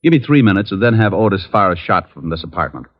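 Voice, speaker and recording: An actor playing a wisecracking, unflappable private detective with a flippant deadpan wit in a 1950s radio drama. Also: male voice, on the low side, quick pace. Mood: probing. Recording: dull old-time radio recording.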